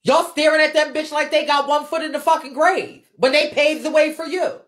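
A woman with a fairly high voice speaks unscripted, as if to a livestream following. She is blunt and emphatic, peppers her talk with swearing and slang, and repeats phrases to hammer them in. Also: steady tone, moderate pace. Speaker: livestream host, ballroom scene commentator.

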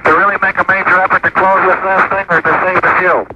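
A man's voice: high voice, little pitch movement, fast talker, lo-fi sound. A man speaking as an astronaut in procedural, understated radio transmissions. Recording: sharp, noisy 1960s space radio.

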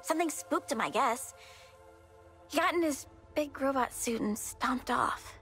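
A petulant little girl villain from a video game, speaking in a high, unhurried voice. She is blunt and matter-of-fact even when menacing, with faint music behind her. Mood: sulky, blunt. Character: cranky little girl plant eco-villain, deadpan menace. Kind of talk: video game cutscene acting.

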